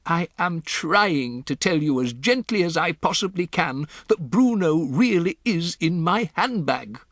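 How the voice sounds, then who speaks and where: A man voicing an old grandmother who speaks in a measured, earnest, patient way. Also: a little high, animated, fairly bright tone, no room sound, clean studio sound, pitched above the performer's own voice. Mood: firm. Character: calm, firm old grandmother.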